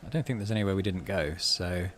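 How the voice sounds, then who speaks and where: A man, middle-aged, hosting a game playthrough, mixing casual commentary with expressive story reading. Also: sits low, fast, slight background noise. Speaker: let's play host.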